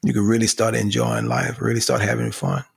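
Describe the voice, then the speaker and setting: A middle-aged man speaking casually as a podcast guest, his voice hoarse from screaming, laughing often. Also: on the low side, sing-song, brisk. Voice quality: gravelly, husky. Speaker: podcast guest, company CEO. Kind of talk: casual conversation.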